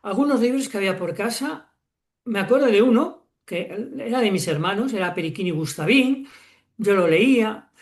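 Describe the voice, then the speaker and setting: A man's voice: high-pitched, expressive, moderate pace. A man in his sixties from Spain, a guest author talking reflectively in an interview, with frequent fillers and self-corrections. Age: 60s. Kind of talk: relaxed interview conversation.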